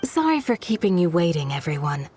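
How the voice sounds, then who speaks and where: A woman playing a composed, responsible guard and coordinator in a video game, speaking in a measured, polite, gently chiding manner. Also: lowish voice, very animated, slight background noise. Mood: composed, informative.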